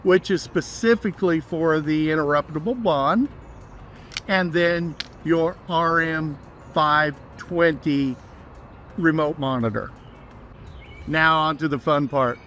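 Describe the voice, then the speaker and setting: A man's voice: on the high side, takes it slowly, a bit bright, slight background noise. A man giving an instructional walkthrough as a trainer, explaining each step plainly.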